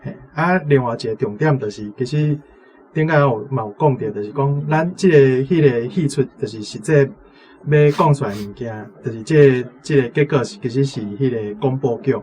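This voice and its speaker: A man's voice: mid-range voice, normal speed, darkish tone, a little hiss or hum. A man with a Taiwanese accent, a language teacher giving explanatory commentary off the cuff. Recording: music playing behind the voice. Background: instrumental music.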